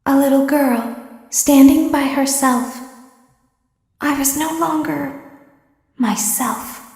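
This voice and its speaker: A woman voicing a calm, cryptic female robot clown in a fan-made game dub, speaking in a measured way. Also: high voice. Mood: wistful. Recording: echoey with long reverb.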